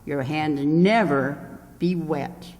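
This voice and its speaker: A man plays an eager, wordy salesman in an old-time radio comedy. He pitches slowly and emphatically, like an announcer selling goods. The sound has long hall reverb. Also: a little mellow. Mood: enthusiastic pitching. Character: enthusiastic, wordy appliance salesman Mister Niles.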